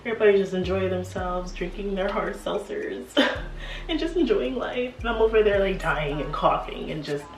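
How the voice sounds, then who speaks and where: A woman's voice: medium pitch, normal speed, slight background noise. A young woman with a congested voice from a cold, chatting casually as a beauty vlogger getting ready at home, with lots of fillers and laughs. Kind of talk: casual get-ready-with-me chat. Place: home apartment room. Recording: echoey room, mic at a distance, music behind.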